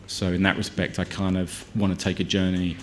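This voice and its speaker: A man's voice: sits low, level tone, a little fast, faint music behind. A film director answering at a press conference, with many restarts and fillers as he gathers his thoughts.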